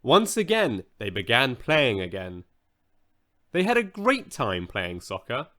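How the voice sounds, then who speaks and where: A man narrating a children's bedtime story in a measured, expressive storytelling manner. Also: mid-range voice. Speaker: audiobook narrator.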